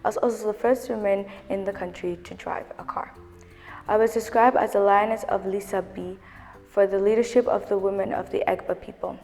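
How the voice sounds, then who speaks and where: A woman's voice: medium pitch, fairly even intonation, fairly quick, darkish tone, in a large hall, soft background music, close to an everyday voice. A young performer voicing a proud older woman activist, reciting her story in the first person. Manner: recited, declamatory. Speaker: child performer in a school show.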